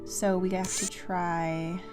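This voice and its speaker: A woman's voice: lowish voice, flat delivery, slow pace, over music. A woman giving casual gameplay commentary, muttering to herself in a hesitant, self-questioning way as she thinks aloud. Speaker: video game streamer playing a puzzle adventure.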